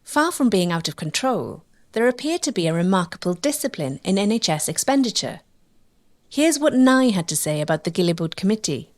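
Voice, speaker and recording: A woman's voice: medium pitch, sing-song, brisk. Woman narrating a history documentary.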